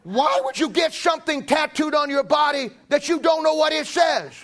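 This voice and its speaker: A man's voice: very high-pitched, normal speed, fairly bright tone, soft background music. A middle-aged man preaching a sermon with anecdotes in a folksy, emphatic way, often repeating phrases for weight.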